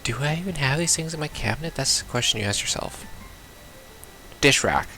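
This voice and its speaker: Animated, sweary game streamer with music behind. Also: mid-range voice, fairly quick. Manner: sweary, irreverent. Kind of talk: casual reactive gameplay commentary, reading game text aloud.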